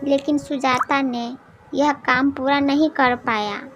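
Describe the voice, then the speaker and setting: A woman's voice: sits high, steady tone, slow, noisy background. A woman narrating a scripted cartoon moral story as a storyteller.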